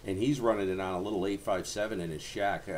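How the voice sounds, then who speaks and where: A man's voice: low voice, fairly even intonation, quick pace. A man chatting casually over amateur radio as a livestream host, in a folksy, rambling way. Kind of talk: casual ham radio chat. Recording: noisy amateur radio channel, background hiss.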